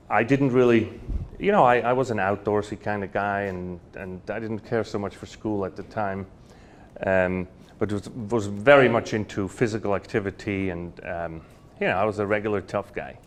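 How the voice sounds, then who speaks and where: A man tells personal stories to a university audience. His voice sits low, and his telling rambles warmly with fillers and restarts. A faint hiss runs under the recording. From Switzerland. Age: 40s. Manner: warm anecdotal storytelling with frequent fillers and restarts. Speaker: guest speaker. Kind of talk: storytelling lecture. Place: university lecture hall.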